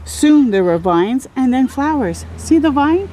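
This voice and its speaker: A woman's voice: high-pitched, big pitch swings, measured pace, mellow, slight background noise. A woman reading a picture book aloud to young children, expressively, with exclamations and encouraging little asides. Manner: exclaims and comments encouragingly, drawing out key words. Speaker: children's read-aloud storyteller.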